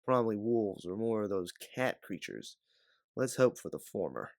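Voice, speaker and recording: A man's voice: fairly low pitch, sing-song, moderate pace, creaky, no room sound, clean studio sound. A man voicing a tough, sardonic woman bounty hunter in a sci-fi audiobook, terse and blunt. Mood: terse, wry.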